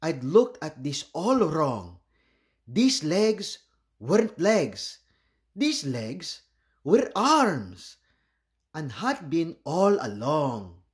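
Man reading aloud, very lively pitch. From Philippines. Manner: expressive, deliberate storytelling. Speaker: children's read-aloud host. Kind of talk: animated picture-book read-aloud.

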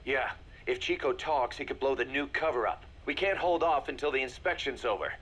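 A man's voice: medium pitch, a little fast, a bit bright, close to an everyday voice. A man acting as a brash, hard-nosed military second-in-command in a video game, blunt and colloquial. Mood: urgent, serious. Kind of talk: game voice acting, briefing dialogue.

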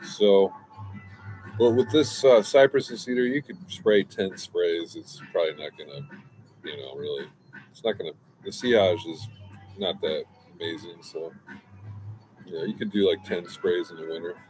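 Guy in his 40s chatting outside on a webcam, low voice, drifts around and restarts a lot. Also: normal speed, soft background music, poor audio. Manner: relaxed, meandering, many restarts and asides. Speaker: fragrance reviewer. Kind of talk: casual rambling review. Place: outdoors by a brick wall, smoking.

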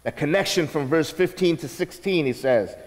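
A man in his thirties preaching a sermon from the stage of a church sanctuary, teaching with lively asides. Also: on the high side, normal speed. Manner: animated teaching with acted quotes and asides. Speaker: pastor. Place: church sanctuary, large indoor room. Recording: long reverb of a church hall.

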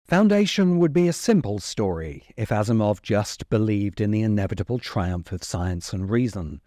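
A middle-aged man delivering scripted commentary as a video essayist, with measured, emphatic phrasing. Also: on the low side, lively intonation, mellow, dry recording, studio quality. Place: open-sided covered outdoor space. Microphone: desk microphone close in front. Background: music.

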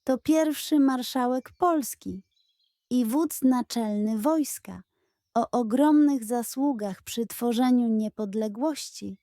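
Woman voicing a kind, slow-speaking old grandfather. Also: fairly high pitch, mellow, no echo, studio quality. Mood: fond, explaining. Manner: earnest, tender, measured storytelling to a child. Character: kind old grandfather, proud former soldier, wistful and modest. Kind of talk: children's audio storybook character.

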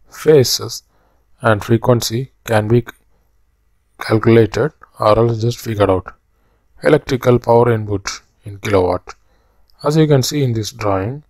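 A man narrating a slide lecture as a technical instructor, explaining step by step in halting phrases. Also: on the low side, darkish tone, dry recording.

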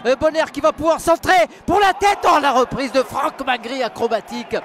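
High-pitched excitable football commentator, male. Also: brisk. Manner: excitable, partisan, repeats phrases. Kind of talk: live football match commentary. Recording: loud stadium crowd and noise. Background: stadium crowd.

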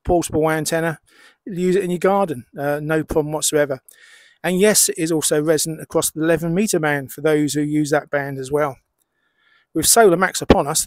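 Man, middle-aged, slightly high voice, dry sound, measured hobbyist explainer, pauses between short phrases. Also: no echo. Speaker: amateur radio hobbyist presenter. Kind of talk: explanatory product demonstration.

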